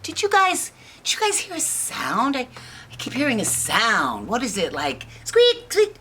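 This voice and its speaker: A woman's voice: fairly high pitch, wildly swooping pitch, moderate pace, sharp tone, slight background noise, pitched above the performer's own voice, full character voice. Woman playing a puzzled, carefree little girl. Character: young girl, carefree, sleepy, easily puzzled.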